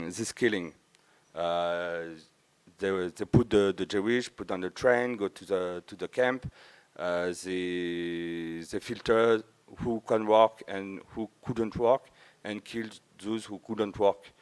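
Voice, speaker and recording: Slow, halting Frenchman giving a talk. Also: on the low side, clean tone. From France. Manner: halting, searching for words, repeating phrases. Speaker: conference speaker. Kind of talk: informal conference presentation in non-native English. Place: large auditorium with a few listeners, speaker at the front.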